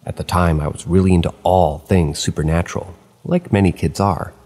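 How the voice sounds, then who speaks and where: A man reading first-person stories aloud at a steady pace, slipping in dry asides of his own. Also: deep voice, a little fast, in a large hall. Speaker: narrator of online horror stories.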